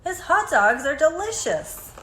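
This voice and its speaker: A woman reading a children's picture book aloud in an expressive, deliberate storytelling manner. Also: high voice. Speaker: storybook reader. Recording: echoey room, faint background noise.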